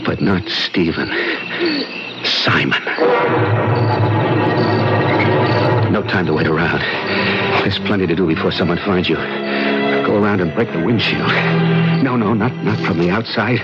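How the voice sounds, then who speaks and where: A man playing a scheming, envious twin brother who mutters haltingly to himself in an old-time radio drama. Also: low voice, loud music behind the voice, natural voice. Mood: coldly murderous. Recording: dull old radio recording, loud background sound.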